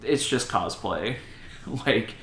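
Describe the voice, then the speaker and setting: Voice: medium pitch, animated, takes it slowly, a bit bright. A podcast co-host chatting casually about movies and games, swearing and sounding mock-exasperated. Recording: roomy echo with faint noise.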